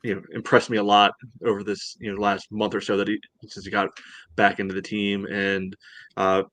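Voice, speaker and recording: A man with a low voice and little melodic movement talks through a soccer match as a podcast co-host. He rambles casually, leaning often on "you know". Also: monotone, normal speed, a bit bright, no room sound.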